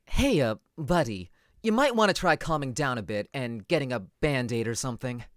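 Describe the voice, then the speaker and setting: Woman as low, lively, wry anime youth. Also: moderate pace, no echo, studio quality. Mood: amused. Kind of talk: anime comic dub.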